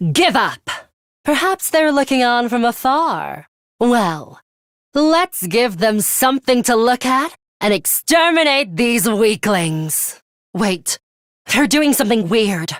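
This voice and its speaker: A woman's voice: mid-range voice, no echo. Young fighter woman from a video game, poised n teasing, ruthless, takes her time, very dry sound.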